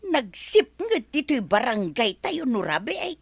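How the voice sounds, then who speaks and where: A woman with an Ilocano accent playing an inquisitive, animated village woman in a Filipino radio comedy drama. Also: on the high side, unhurried, creaky, slightly rough, no echo, full character voice. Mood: questioning.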